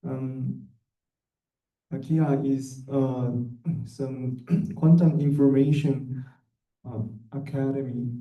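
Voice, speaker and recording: A young man, a student club president, giving a hesitant, halting conference presentation. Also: mid-range voice, steady tone, dull audio. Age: young adult. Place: indoor conference room. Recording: echoey room, distant microphone.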